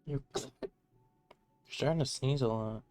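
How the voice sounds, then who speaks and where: A man's voice: mid-range voice, a little slow, a little mellow. A man giving casual, rambling live commentary as he plays a game on stream. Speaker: livestreamer playing a game. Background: faint game music.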